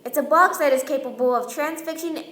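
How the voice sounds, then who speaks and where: A woman's voice: high voice, brisk, fairly bright tone, a little hiss or hum. A woman playing a boastful, plain-spoken inventor who serves a king, in an amateur radio play. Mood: proud. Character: boastful Yankee inventor, the king's right-hand man. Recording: roomy live room, music behind.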